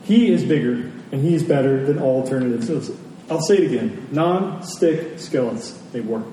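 A man preaching a sermon as a pastor, earnest and emphatic, pausing and repeating phrases for weight. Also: animated, dark tone. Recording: echoey hall, distant mic, faint rumbling noise.

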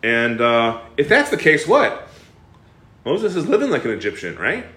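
Male Bible study leader, mid pitch, animated and questioning, echoey room. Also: normal speed. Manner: animated, asks the class questions, frequent fillers and restarts. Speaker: bible teacher. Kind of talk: interactive bible study teaching.

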